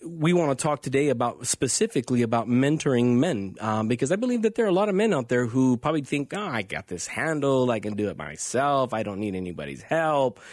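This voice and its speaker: A man's voice: medium pitch, sing-song, fairly quick, hardly any pauses, darkish tone, no room sound. American man hosting radio, dry studio sound. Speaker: radio host and pastor. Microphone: close studio microphone.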